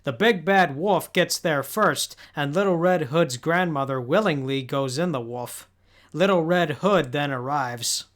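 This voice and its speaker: A man with a voice a little higher than usual narrates a scripted episode recap. He retells the story step by step as the narrator of a video essay. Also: a little slow, fairly bright tone.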